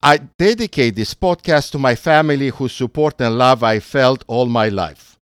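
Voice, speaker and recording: An older man from Romania hosts a podcast, reading his script in a clear, resonant voice with a Romanian accent. The recording is dry and close, and his delivery is formal and deliberate. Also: medium pitch, no room sound. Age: 60s or older. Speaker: podcast host. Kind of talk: scripted narration.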